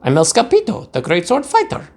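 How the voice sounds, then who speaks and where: A man voicing a boisterous young boy kitten who plays a Chihuahua swordsman in a storybook, excitable and showy, with a mock Spanish accent. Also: high-pitched, fairly quick, voice pushed up, heavily acted. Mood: boastful. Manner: excitable, sing-song, showy.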